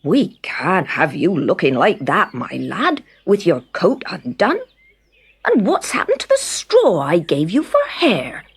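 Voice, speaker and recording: A woman voicing a gruff but kind male farmer in a storybook, blunt and emphatic like a fatherly scold. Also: lowish voice, wildly swooping pitch, measured pace, heavily acted. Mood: mock scolding.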